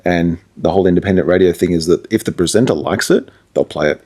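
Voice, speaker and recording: A man's voice: sits low, fast talker, warm tone. An Australian man, a radio presenter and musician, chatting casually as an interview guest.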